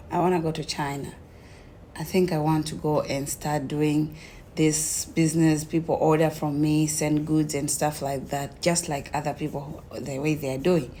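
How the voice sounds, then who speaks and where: A young woman with a Tanzanian accent tells her own story casually, in an animated way, acting out conversations as she goes. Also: sits low, slight background noise. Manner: animated retelling, acts out quoted conversations.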